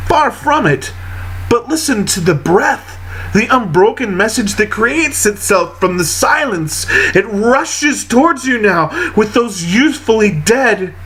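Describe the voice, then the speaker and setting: Slow, higher-voiced man reciting poetry. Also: fairly bright tone, slightly rough, poor audio. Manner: deliberate, measured phrasing with frequent pauses. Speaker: poetry reader. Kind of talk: solemn literary poetry recitation.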